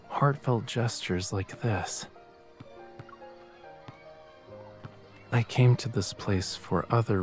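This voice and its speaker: A slow, pensive male professor. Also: fairly low pitch. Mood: tender, sincere. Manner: gentle, sighing, pensive delivery. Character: soft-spoken reflective young male artist professor. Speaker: video game character voice actor. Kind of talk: intimate dramatic game dialogue.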